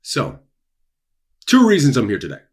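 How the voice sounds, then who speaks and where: A young adult man with a husky voice, talking casually to his audience as an author and creator, with theatrical emphasis and dramatic pauses. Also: mid-range voice, wildly swooping pitch, darkish tone. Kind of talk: casual promotional vlog, dramatic delivery. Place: small dark room indoors.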